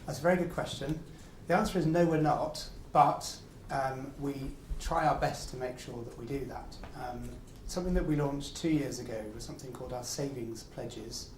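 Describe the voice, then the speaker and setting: A man's voice: mid-range voice, moderate pace, a little hiss or hum. Courteous man answering questions in an echoey room. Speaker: building society representative answering questions. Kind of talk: audience Q&A answer.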